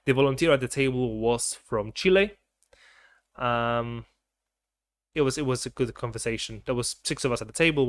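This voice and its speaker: A man's voice: medium pitch, a bit bright, smooth, dry recording. Chatty young man, dry close sound. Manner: chatty, rambling, self-correcting. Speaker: vlogger. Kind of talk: casual chat to camera.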